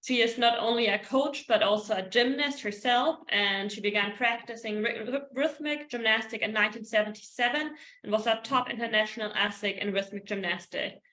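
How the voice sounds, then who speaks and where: A woman's voice: fairly high pitch, brisk, pushed and bright, echoey room. A woman moderating an online workshop, formally introducing speakers and occasionally stumbling over names. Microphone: video call.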